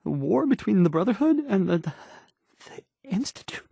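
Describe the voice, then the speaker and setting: A man's voice: high-pitched, sing-song, normal speed, gravelly, warm tone, husky, no echo, studio quality, full character voice. An actor playing a nervous, awkward young male radio DJ in a game, stammering and hesitating through the news, rambling and unsure of himself. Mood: anxious, rambling. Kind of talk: fumbled radio news report in a video game.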